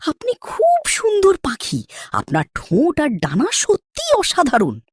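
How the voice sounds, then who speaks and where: A woman voicing a sly, wicked, scheming fox with a raspy voice in a children's cartoon. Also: high voice, wildly swooping pitch, measured pace, exaggerated voice. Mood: sly flattery. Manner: menacing, scheming, swooping delivery.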